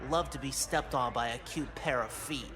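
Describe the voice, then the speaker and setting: A man's voice: medium pitch, moderate pace, natural voice. A man voicing an awkward, whiny teenage boy outcast who is easily flustered, in a video game. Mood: awkward, sheepish.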